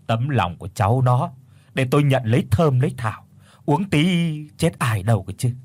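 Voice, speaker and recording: A man's voice: mid-range voice, animated, moderate pace, a little mellow, slightly rough. A man voicing a genial middle-aged father who speaks in a soothing, easygoing way. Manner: jovial, soothing.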